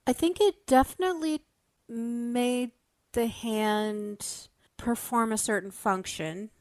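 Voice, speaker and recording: A woman's voice: a little high, takes it slowly, darkish tone, no room sound, clean studio sound. A woman podcast panelist explaining theories in a casual, considered way, qualifying what she claims.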